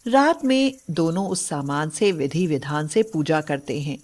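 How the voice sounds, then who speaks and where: Slow-paced female story narrator. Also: low voice, lively intonation, darkish tone.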